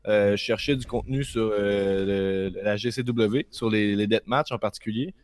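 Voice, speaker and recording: A man's voice: on the low side, fairly even intonation, moderate pace, no echo. A man with a Québécois accent hosting a casual, free-flowing podcast chat. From Quebec, Canada. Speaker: podcast host.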